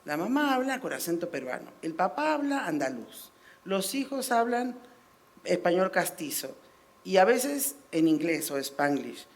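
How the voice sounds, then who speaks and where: A middle-aged woman from Latin America speaking on a panel, telling personal stories with fillers and "¿no?" tag questions. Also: lowish voice. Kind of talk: panel discussion.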